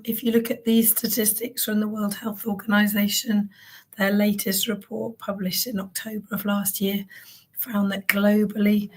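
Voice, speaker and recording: Hoarse woman speaker, earnest conference talk, middle pitch, ums along the way. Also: low-quality recording. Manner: warm, earnest, with frequent ums. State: croaky, hoarse voice. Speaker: keynote speaker on child wellbeing. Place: event stage.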